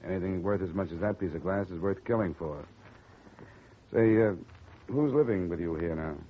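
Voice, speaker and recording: A man's voice: sits low, slight background noise, lightly acted. An actor playing a cool, wisecracking male freelance insurance investigator, dry and skeptical, in a 1950s radio drama. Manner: dry, skeptical and quick, narrating his expense report. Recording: dull lo-fi 1950 radio broadcast.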